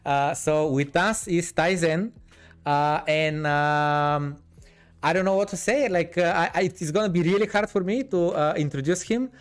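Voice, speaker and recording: A man's voice: on the high side, normal speed, clear, resonant tone. Bulgarian-accented man hosting a podcast. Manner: hesitant, filler-heavy, friendly introductions. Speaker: podcast host. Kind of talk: casual podcast interview.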